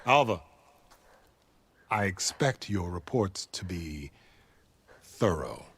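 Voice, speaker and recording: A man acting a pompous, coldly gracious leader with deliberate, theatrical delivery in a video game. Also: low-pitched, expressive, fairly bright tone, breathy edge, full character voice. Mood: haughty. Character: imperious, pompous male leader, coldly magnanimous.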